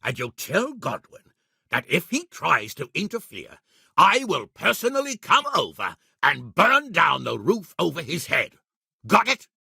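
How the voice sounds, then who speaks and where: A man playing a blunt, hot-tempered middle-aged medieval lord in a video game, with a raspy, gravelly voice and an authoritative manner. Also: fairly high pitch, huge pitch swings, unhurried, sharp tone, no echo, studio quality, exaggerated voice. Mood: stern, angry. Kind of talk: video game character acting.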